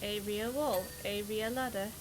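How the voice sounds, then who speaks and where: A woman's voice: mid-range voice, fairly even intonation, measured pace, over music, rough recording. A woman with a Geordie accent chatting casually while streaming a game, exclamatory and swearing freely. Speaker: livestreamer. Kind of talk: casual gameplay commentary.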